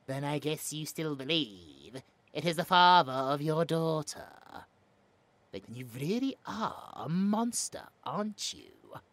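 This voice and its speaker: A man as a bright-voiced smug British mad scientist. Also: a little high, no room sound, heavily acted. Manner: taunting, theatrical, clinical and precise. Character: smug, cruel mad scientist obsessed with research. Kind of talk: audio roleplay drama.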